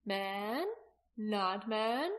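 A woman's voice: medium pitch, lively intonation, extremely slow, a bit bright. A woman voicing a huge but childlike frightened female dragon in an audiobook, wailing and repeating herself in questions. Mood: bewildered.

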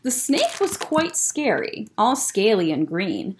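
Young female storytime reader, expressive, very lively pitch, unhurried, faintly noisy webcam sound. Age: young adult. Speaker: librarian storyteller. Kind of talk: picture book read-aloud for children. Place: indoor library room.

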